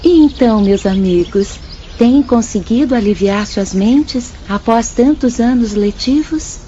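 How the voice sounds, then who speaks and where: An actress with a Brazilian accent playing a kind, encouraging young spirit sister in a gentle, formal radio drama style. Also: female voice, fairly high pitch, lively intonation, unhurried. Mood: friendly. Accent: Brazilian Portuguese. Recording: dull band-limited audio, faint noise and ambience.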